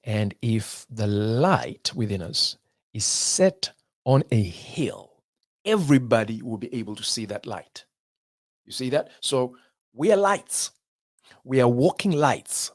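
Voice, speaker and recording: A man from Zimbabwe giving a motivational talk in an emphatic way, repeating phrases and pausing at length. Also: medium pitch, sing-song, dry recording, clean studio sound. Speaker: motivational speaker.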